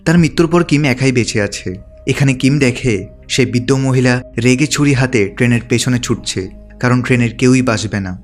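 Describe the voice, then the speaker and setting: A man narrates a movie recap from a script at a quick pace. His voice sits at a middling pitch, with music playing behind him. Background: background music.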